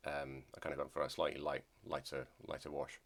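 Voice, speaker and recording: A man with an extremely low voice talks casually about his hobby, pausing often with ums and restarting his sentences. He sounds nasal from a lingering cold. His delivery stays flat and even. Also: creaky. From Scotland. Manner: hesitant, many ums and restarts. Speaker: model railway hobbyist. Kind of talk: casual hobby video narration.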